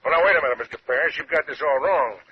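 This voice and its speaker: An actor playing a brisk, bossy homicide inspector with an American accent, blunt and authoritative in vintage radio drama style. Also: male voice, fairly high pitch, lightly acted. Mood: stern, commanding. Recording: muffled, noisy old radio recording.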